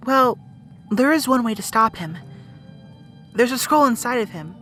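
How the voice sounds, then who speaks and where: Woman as earnest young heroine over clear music. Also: on the high side, expressive, a little slow, a bit bright. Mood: determined. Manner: earnest, emotional. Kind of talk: amateur audio drama acting.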